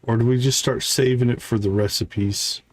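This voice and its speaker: A man's voice: medium pitch, fairly even intonation, moderate pace, dry recording. A man hosting a gaming livestream, thinking aloud in casual, chatty commentary as he plays.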